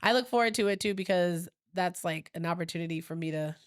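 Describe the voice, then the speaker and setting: A woman talks in a relaxed, rambling way as a podcast co-host, often laughing. Her voice sits a little low and sounds a bit stuffy from a sinus infection. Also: quick pace, smooth. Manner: chatty, rambling, laughs easily. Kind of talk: casual chat.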